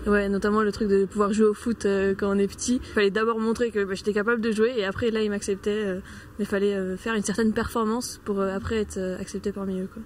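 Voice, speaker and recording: Woman in a street interview, flat monotone, faint traffic behind. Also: mid-range voice, fast.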